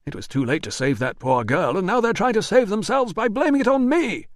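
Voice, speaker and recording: A man voicing a panicky, blustering male employer in an audiobook, with rapid, indignant outbursts. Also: high-pitched, sing-song, dry recording, clean studio sound, pitched above the performer's own voice. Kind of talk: audiobook dialogue.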